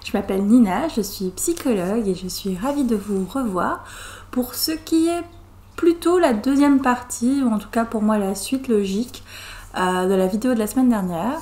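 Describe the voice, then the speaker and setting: A woman's voice: fairly high pitch, moderate pace, a little mellow. A woman, a psychologist talking to camera on her own channel, thinking aloud with many hesitations and restarts. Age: 30s. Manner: thoughtful, many fillers and restarts, pace swings. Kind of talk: casual talking-head explanation.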